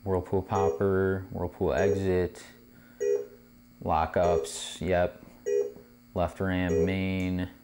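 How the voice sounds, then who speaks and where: A man's voice: sits low, little pitch movement, drawn-out words, long pauses, loud music behind the voice. A man giving a casual hands-on repair tutorial as host, recorded indoors on a camera mic a short distance away. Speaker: host of pinball repair videos. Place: indoor game room among arcade machines. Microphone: camera on tripod, a short distance away. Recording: camera mic with clear background noise, clicks.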